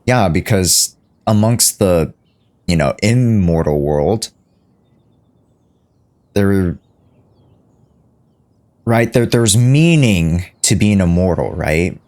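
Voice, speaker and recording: A man's voice: sits low, lively intonation, takes it slowly, pauses often, a little mellow. A man doing casual, joking live game commentary as a streamer. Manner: joking, mimics the game's lines.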